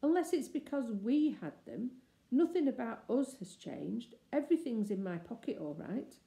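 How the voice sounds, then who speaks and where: A woman's voice: fairly high pitch, big pitch swings, normal speed, creaky, dark tone, pitched above the performer's own voice. Woman as a bold, clever schoolboy, echoey. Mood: puzzled, reasoning. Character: clever, bold schoolboy, leader among storybook children. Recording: roomy, echoey indoor sound.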